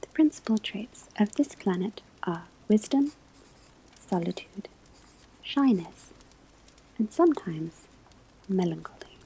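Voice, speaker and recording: A woman voicing a calm professor of divination at a witch school, in a soft, breathy whispered ASMR lecture with measured, instructive delivery. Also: mid-range voice, heavily acted. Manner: slow, deliberate, measured, instructive. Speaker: ASMR roleplay performer. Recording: clear background ambience noise. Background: classroom ambience, crackling fireplace, pages turning.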